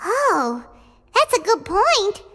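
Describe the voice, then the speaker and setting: A tiny, excitable fairy companion from a video game. The voice is squeaky and extremely high, swooping with lively, naive indignation. Loud music plays behind it. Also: female voice, slow pace, exaggerated voice. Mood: curious. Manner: squeaky, exclamatory, refers to self in third person. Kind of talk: scripted video game dialogue.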